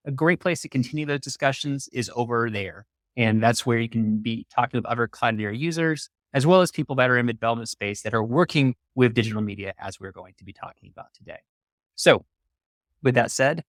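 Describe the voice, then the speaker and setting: A man with a medium-pitched voice hosts an interview show. He is enthusiastic and wordy, explaining things in full sentences. The sound is dry, with very little room on it. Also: a bit bright, no echo. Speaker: podcast host. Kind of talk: upbeat hosted interview talk.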